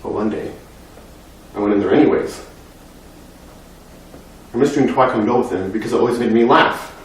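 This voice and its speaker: A man's voice: medium pitch, pitched below the performer's own voice, natural voice. A young man performing a dramatic monologue as a grieving, anxious, talkative boy, with an earnest, intense delivery, recorded in a very echoey room far from the mic. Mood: hushed, sorrowful. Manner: earnest, intense, breathless run-on telling that swells from hushed to loud. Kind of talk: dramatic monologue at a speech competition.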